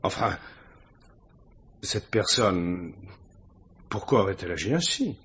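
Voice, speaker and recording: This is a cornered male suspect in an old radio mystery, with a deep and very husky voice. He is touchy and evasive, answering curtly, then stammering indignantly. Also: very animated, slow pace, fairly bright tone, exaggerated voice. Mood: indignant, uneasy. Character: defensive, irritable male suspect, evasive and uneasy. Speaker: radio drama actor. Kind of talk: 1950s French radio mystery acting.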